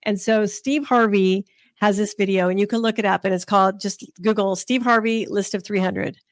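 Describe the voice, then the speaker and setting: A woman's voice: medium pitch, steady tone, normal speed, dry recording, studio quality. A woman hosting an interview, chatting casually and telling stories in a chatty, friendly way. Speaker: interview host.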